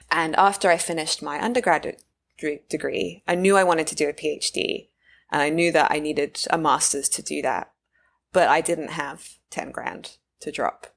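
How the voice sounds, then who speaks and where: A woman's voice: deep voice, creaky. A British woman in her thirties, a researcher from the UK, talking through her career path as a podcast guest, with frequent fillers. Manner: thoughtful, many fillers and restarts. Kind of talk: casual interview talk.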